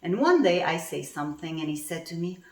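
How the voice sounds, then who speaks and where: A woman's voice: on the low side, big pitch swings, a little fast, a little mellow. Woman coaching, roomy distant sound. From Canada. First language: French. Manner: coaching tone, tells personal stories, poses questions to the audience. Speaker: communication coach. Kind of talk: online conference presentation.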